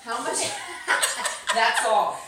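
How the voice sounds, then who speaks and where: A woman's voice: on the high side, expressive, extremely slow, distant mic, background noise. Bubbly middle-aged woman in an echoey kitchen. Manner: bubbly, teasing. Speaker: channel host. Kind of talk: casual kitchen chat. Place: home kitchen.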